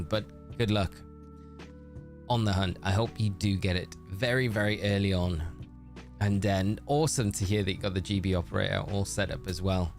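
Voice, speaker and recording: Low-voiced man chatting to his stream. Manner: friendly, chatty, calls viewers mate. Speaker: streamer reading live chat. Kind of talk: casual live-stream chat while shiny hunting.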